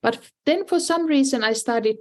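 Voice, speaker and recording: A woman's voice: high-pitched, normal speed, darkish tone. A middle-aged woman with a Finnish accent, talking through her life in a casual interview, hesitant, with many restarts and "you know, like" fillers. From Finland. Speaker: design professor and dean, guest.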